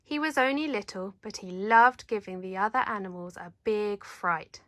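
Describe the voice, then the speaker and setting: A woman reading a children's picture book aloud in an animated, dramatic storyteller's manner. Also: mid-range voice, normal speed, no room sound. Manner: animated, dramatic, leans into sound words. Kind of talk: expressive read-aloud narration.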